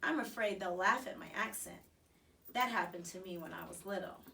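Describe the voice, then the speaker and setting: A woman voicing a shy, gentle schoolgirl who speaks in a confiding way in a storybook reading. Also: mid-range voice, moderate pace, gravelly, far from the mic.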